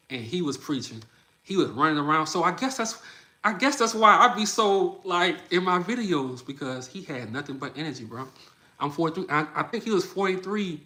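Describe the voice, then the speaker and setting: A man in his mid-thirties hosts a livestream, talking in a loose, energetic, chatty way. His voice sits a little higher than most men's. He keeps calling his listeners "bro.". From Louisiana. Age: 30s. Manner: energetic, loose and chatty, often says "bro", laughs between thoughts. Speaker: livestream host. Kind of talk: casual livestream chat, reacting to comments.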